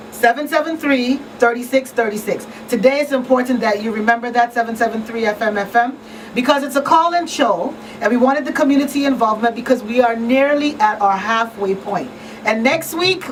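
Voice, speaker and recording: A woman hosting a live radio talk show in a casual style from a small studio. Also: fairly high pitch. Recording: slightly distant, noisy room sound.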